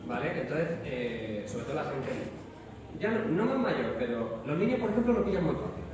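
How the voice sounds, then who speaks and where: A man's voice: on the high side, very animated, moderate pace. A man with an accent from Spain giving informal, plain-spoken advice as a guest on a live panel. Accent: Spanish from Spain. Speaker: voice actor, guest speaker. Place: large echoey event hall at a convention. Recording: very echoey hall, distant mic, noisy.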